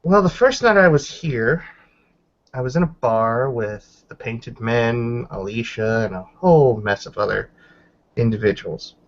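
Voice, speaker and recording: A man's voice: medium pitch, unhurried, close to an everyday voice. Man playing a stern female werewolf, muffled recording. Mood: worried, guarded. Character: stern, smirking, rule-minded female werewolf.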